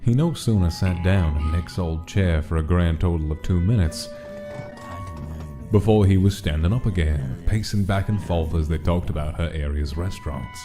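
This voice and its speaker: A man's voice: deep voice, normal speed, over music. A man reading scripted, dramatic story narration for a comic dub.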